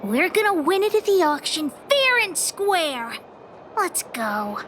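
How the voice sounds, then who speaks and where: A woman's voice: very high voice, big pitch swings, takes it slowly, background noise, full character voice. A woman voicing a brash, stubborn little girl adventurer in a video game, blunt and bossy. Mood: stubborn, defiant. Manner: blunt, bossy, refers to self in third person. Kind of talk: animated video game voice acting.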